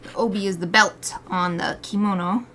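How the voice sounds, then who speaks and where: A woman's voice: mid-range voice, steady tone, moderate pace, sharp tone. A chatty woman from the States talks through a live drawing session, giggling often and thinking aloud. Her voice sits mid-range. A faint hum of room noise runs under the desk mic. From United States. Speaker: artist streaming live drawing. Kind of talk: casual chat while drawing live.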